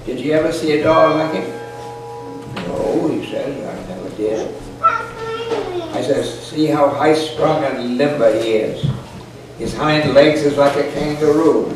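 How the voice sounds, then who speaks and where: A man's voice: a little high, big pitch swings, unhurried. An elderly man in his eighties or older reads a folksy, humorous story aloud in a dry, rustic manner. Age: 80s or older. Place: indoor parlor with a small audience. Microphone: camera microphone at a distance. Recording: echoey, noisy room recording from far off.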